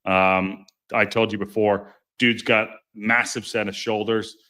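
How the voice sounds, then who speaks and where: A man hosting a podcast, chatting casually and jokingly with live viewers in a deep, strong voice, often stumbling and restarting his sentences. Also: level tone, unhurried, bright, forceful tone. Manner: rambling, self-interrupting, stumbles over words; chatty and jokey. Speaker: podcast host. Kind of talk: casual live-stream chat reading viewer comments.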